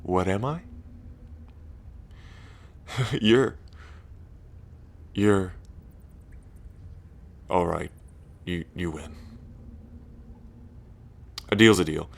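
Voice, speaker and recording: A man playing a lonely ancient dragon king in roleplay ASMR, proud yet bashful, with halting, deliberate phrasing. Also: low voice, animated, creaky, full character voice. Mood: conceding, touched. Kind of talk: roleplay ASMR audio drama.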